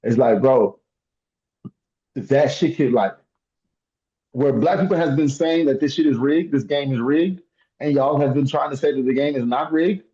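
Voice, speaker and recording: Podcast co-host, male, heated and animated, mellow timbre, muffled recording. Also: medium pitch, normal speed, pauses often. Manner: heated, emphatic, laughs while ranting. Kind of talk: casual podcast discussion.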